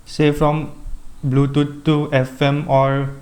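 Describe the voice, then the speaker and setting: A man's voice: a little high, completely flat delivery, takes it slowly, darkish tone, clean tone. A man narrating an unboxing and product review in a plain, step-by-step explaining way, recorded on an earphone microphone.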